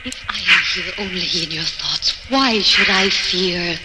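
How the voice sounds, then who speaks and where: A woman's voice: fairly low pitch, measured pace, close to an everyday voice. An actress playing a prisoner's tender, urging beloved who appears in his thoughts, in 1940s radio acting style. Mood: reproachful. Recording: noisy old radio broadcast.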